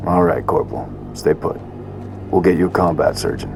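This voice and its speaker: An actor playing a steady, stern squad commander in a video game, controlled and terse. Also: male voice, low voice, brisk, creaky, noisy background. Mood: commanding. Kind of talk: video game cinematic acting.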